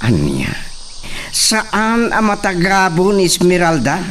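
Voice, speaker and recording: A man's voice: high-pitched, huge pitch swings, slow, fairly bright tone, slight background noise, full character voice. A man playing a haughty, demanding young master in a radio drama. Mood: arrogant. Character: haughty young male master Senyorito Brandon.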